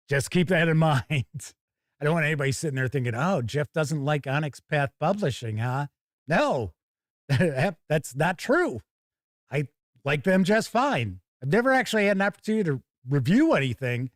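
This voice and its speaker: A man's voice: fairly high pitch, no echo, clean studio sound. A man hosting a live gaming news show, chatty and wry, moving between casual asides and reading out news copy. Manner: animated, wry, conversational.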